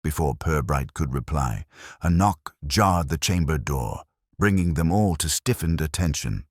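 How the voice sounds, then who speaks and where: Male narrator, extremely low pitch, animated intonation, measured literary delivery, dramatic mystery storytelling. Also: husky, no echo.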